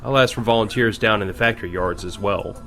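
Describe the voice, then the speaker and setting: A man playing a measured, matter-of-fact army major in an audio drama, curt and blunt. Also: fairly low pitch, sharp tone, faint music behind. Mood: formal, restrained.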